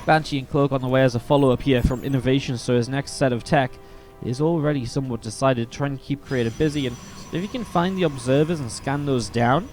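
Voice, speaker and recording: A man casting a live strategy game match on his own, in a mid-range voice. He sounds a little sniffly from a cold and keeps up a steady stream of play by play. Faint game music runs under him. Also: medium pitch, brisk, hardly any pauses. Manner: steady, builds excitement in fights. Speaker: esports caster. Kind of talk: solo play-by-play commentary.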